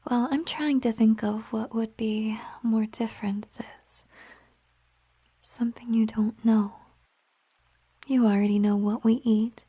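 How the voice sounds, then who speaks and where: A woman plays a gentle siren girlfriend in an intimate ASMR roleplay. Her voice is a breathy, mellow whisper, slow and softly musing. It is recorded close and dry. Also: a little high, fairly even intonation, no echo. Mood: tender, musing. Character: gentle, affectionate female siren, a mermaid girlfriend. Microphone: close microphone, dry sound.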